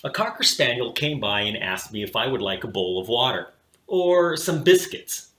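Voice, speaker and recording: A man narrates a children's storybook as a read-aloud host. His voice is bright and forceful, with lively, dramatic swings and deliberate pacing. The recording has a clear room echo. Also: mid-range voice.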